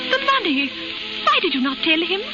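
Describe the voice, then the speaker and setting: An actress playing an anxious, fluttery spinster who stammers and exclaims, in 1930s radio drama style. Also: female voice, very high voice, sing-song, fairly quick, music in the background. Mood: distressed. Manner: flustered, stammering, breathless exclamations. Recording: dull, scratchy 1930s radio recording.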